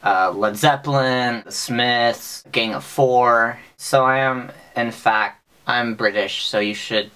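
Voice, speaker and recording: A young man with an American accent talking casually to camera in a deadpan, mock-serious, sweary comic monologue, recorded in a small bedroom. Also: mid-range voice, slow pace, clear, resonant tone. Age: young adult. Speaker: vlogger. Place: small bedroom with bunk bed, indoors.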